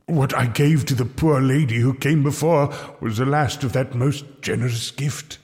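An actor playing a kindly medieval English friar in an audio drama, speaking gently and earnestly in formal old-fashioned phrases. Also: male voice, mid-range voice, steady tone, moderate pace, natural voice. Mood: gentle, concerned. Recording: echoey hall reverb with music.

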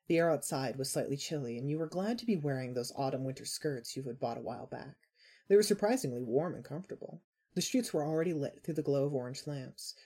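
Fiction podcast narrator: mellow, mid-pitched, a little husky, scripted storytelling read.

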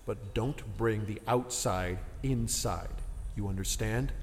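A man's voice: fairly low pitch, slow, a little hiss or hum. A man playing a stern, impatient restaurant boss in an audio drama, scolding and condescending toward his staff. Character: stern, exasperated male restaurant boss, older than his employee. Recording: long reverberant room echo.

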